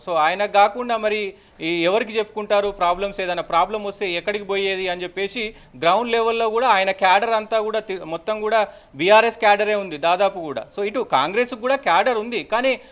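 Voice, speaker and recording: A man with a Telangana Telugu accent giving an unscripted live news update as a reporter, in halting, repetitive phrases. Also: high-pitched, fairly bright tone.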